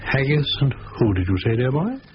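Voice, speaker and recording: An actor in a 1970s radio drama playing a suave, pompous older criminal mastermind who speaks in measured, condescending, avuncular phrases. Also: male voice, mid-range voice, sing-song, close to an everyday voice. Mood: guarded, urbane. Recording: muffled old radio broadcast, faint noise.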